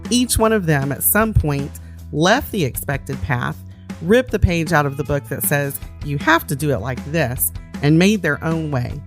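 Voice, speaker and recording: A woman's voice: deep voice, animated, measured pace, faint music behind. A woman hosting a podcast, reading a scripted introduction and interviewing a guest with short, attentive affirmations. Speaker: podcast host.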